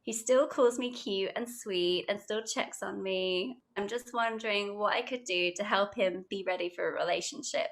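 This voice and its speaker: A woman's voice: mid-range voice, moderate pace, hardly any pauses. A young British woman with a British accent, a podcast guest chatting casually and rambling with giggles. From United Kingdom. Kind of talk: casual podcast chat, reading aloud.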